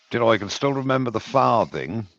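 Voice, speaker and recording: A man's voice: mid-range voice, vocal fry, a bit bright, dry recording, highs cut off. An older man from Wales with a light Welsh accent, chatting casually and rambling a little as co-host of a woodturning live stream. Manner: chatty, rambling, laughs often. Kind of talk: casual chat.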